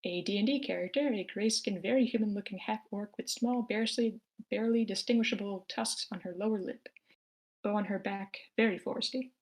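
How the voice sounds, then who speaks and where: A woman's voice: medium pitch, brisk, rough recording. A woman narrating scripted stage directions for a live screenplay table read. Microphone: remote online meeting.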